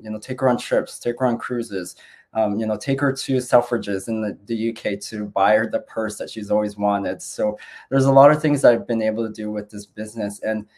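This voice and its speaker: Relaxed low-voiced man in his forties. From Philippines, raised in Canada. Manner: relaxed, rambling with fillers. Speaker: business owner sharing his story. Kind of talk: casual testimonial on a video call.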